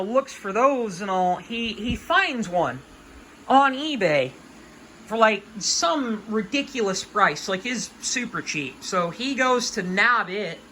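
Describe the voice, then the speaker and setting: A woman's voice: medium pitch, bright, forceful tone, a little hiss or hum, rough recording. A woman of about twenty giving hobby advice in a casual, unscripted rant, with an emphatic, folksy, colloquial way of talking.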